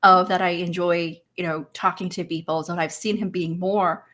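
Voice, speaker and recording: A woman's voice: lowish voice, fairly even intonation, normal speed, fairly bright tone. A woman interview coach from Hong Kong and Canada, whose first language is not English, speaking energetically on a panel and often repeating words as she starts a phrase. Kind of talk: panel discussion.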